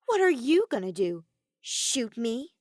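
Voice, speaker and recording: Lady doing a bratty teen boy villain, sing-song and mocking, pitch jumping all over, dry audiobook sound. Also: big pitch swings, unhurried, no echo. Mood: scornful. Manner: sneering, sing-song mockery. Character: gleefully taunting teenage boy villain, mocking and ominously cheerful. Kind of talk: character voice in fan fiction audiobook.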